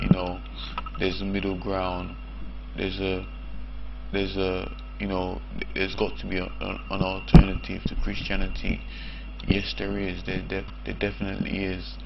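A man in his thirties, croaky from just waking up, talking haltingly to camera with many restarts. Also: sits low, completely flat delivery. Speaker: vlogger. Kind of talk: unscripted talk to camera. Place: small room indoors. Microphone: lapel mic. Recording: dull lo-fi sound with noise.

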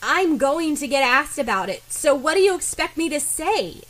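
A woman's voice: high-pitched, big pitch swings, normal speed, fairly bright tone, voice pushed up, rough recording. A woman voicing a feisty, persistent teenage girl in a story, questioning and pushy. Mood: vindictive, energetic.

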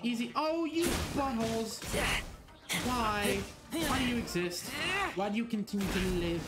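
A man's voice: very high voice, a little slow. A young man commenting casually on his game, swearing and joking as he plays. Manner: profane, joking, mumbles to himself. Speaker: streamer. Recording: game music underneath.

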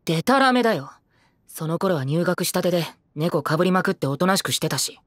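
Bitter, high-voiced teenage boy idol. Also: expressive, a little fast, fairly bright tone, dry recording, clean studio sound. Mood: flippant, sulky. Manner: cheeky, self-deprecating, theatrical. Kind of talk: Japanese audio drama acting.

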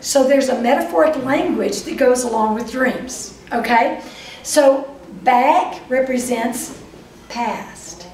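An older woman with a Texas accent giving a lively, chatty lecture to a live audience, speaking straight to them. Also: high voice, slow, recorded from a distance, a little hiss or hum. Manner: lively, chatty, addresses audience directly, acts out voices of dreams. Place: meeting room before a whiteboard. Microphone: far camera. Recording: roomy echo, voice from afar.

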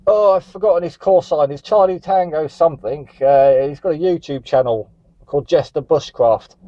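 A man's voice: fairly high pitch, normal speed, dark tone, dry recording. A man chatting and calling for contacts as a CB radio operator. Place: static vehicle on a seafront headland. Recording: dull lo-fi CB radio recording.

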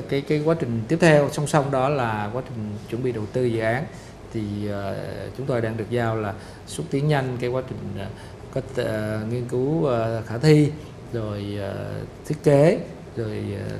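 A middle-aged man explaining a city project in a formal interview, measured and full of "ờ" pauses. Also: mid-range voice, background noise. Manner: measured, explanatory, frequent "ờ" fillers. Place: studio office set with photo wall. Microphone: lapel mic.

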